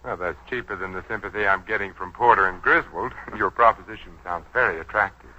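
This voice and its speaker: An actor playing a courteous but guarded businessman with quiet menace, in 1940s radio drama style. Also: sits low, a little hiss or hum. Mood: genial. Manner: courteous, measured, insinuating. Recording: dull 1940s radio broadcast recording.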